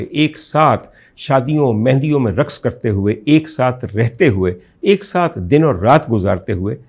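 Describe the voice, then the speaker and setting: A man with a Pakistani accent giving an indignant, emphatic opinion monologue as a talk show host, full of rhetorical emphasis, in a husky, gravelly voice. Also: medium pitch, darkish tone. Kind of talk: opinion monologue recounting a case to viewers.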